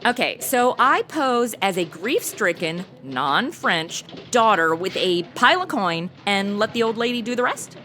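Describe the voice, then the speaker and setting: A woman's voice: medium pitch, big pitch swings, a little slow, few pauses, pushed and bright, soft background music. An actress playing a flippant, self-absorbed modern young woman in a comedy audio drama, breezy, sassy and slangy. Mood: playful. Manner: sassy, breezy, slangy, valley-girl phrasing.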